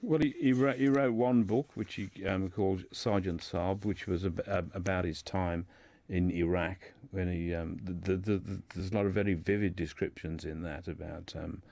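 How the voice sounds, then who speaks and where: A man speaking as a guest in a radio discussion, hesitant and halting, often repeating his words as he explains. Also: deep voice, steady tone, creaky, darkish tone, no room sound. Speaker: guest discussing a writer's life and books.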